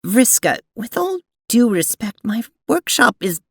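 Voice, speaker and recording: A woman playing a prim, earnest alien seamstress in an audio drama, with formal, precise, deliberate diction. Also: a little high, sing-song, gravelly, husky, no room sound, studio quality, full character voice. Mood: hurt, restrained. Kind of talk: audio drama acting and narration.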